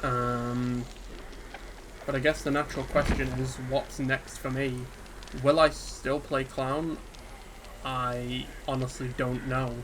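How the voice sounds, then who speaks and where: A man giving an unscripted, subdued and hesitant rant about a game, sounding dejected and pausing often on ums. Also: medium pitch. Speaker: gaming video creator. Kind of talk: unscripted solo rant to camera. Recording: steady background ambience hiss.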